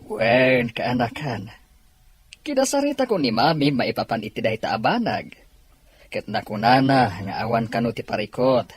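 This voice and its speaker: A man playing a caring middle-aged father in an audio drama, with a husky voice. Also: medium pitch, animated, moderate pace. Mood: gentle, reassuring. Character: caring father of a blind daughter.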